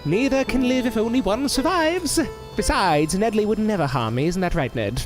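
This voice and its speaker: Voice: medium pitch, lively intonation, normal speed, close to an everyday voice, low-quality recording. An actor playing a smug, bullying, malicious master in an audio drama, with a sneering, theatrical way of taunting. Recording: music under the voice.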